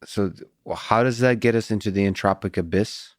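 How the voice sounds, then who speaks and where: A man with a low voice whose first language is Russian, questioning a guest in a hesitant, halting way with many restarts. The sound is close and dry, with no trace of room echo. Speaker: podcast host. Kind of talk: interview questions.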